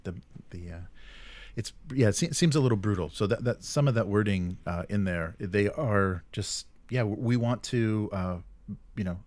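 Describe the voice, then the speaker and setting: A man co-hosting a tabletop gaming news show, talking casually about the news in a halting, agreeable way. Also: fairly low pitch, a little mellow, no room sound. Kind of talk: casual talk, commenting on news.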